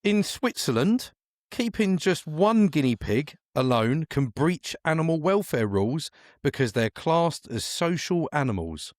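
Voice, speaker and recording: A man's voice: on the high side, big pitch swings, unhurried, no room sound, clean studio sound. A man hosting a casual true-or-false quiz, playful and teasing, reading out questions and repeating them. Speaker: quiz host.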